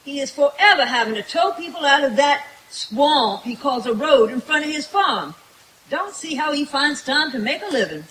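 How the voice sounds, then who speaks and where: A folksy, incredulous country woman, acted by a woman in live old-time radio comedy. The voice is high and sharp, exclaiming plainly, on a faintly hissy outdoor recording. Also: very animated, moderate pace, rough recording. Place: outdoor woodland stage at night.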